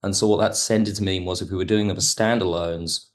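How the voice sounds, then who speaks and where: A man's voice: sits low, fairly even intonation, brisk. A man speaking as an interview guest, reflective and analytical, carefully qualifying his points as he explains. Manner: thoughtful, analytical, hedging. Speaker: podcast guest, audio drama writer.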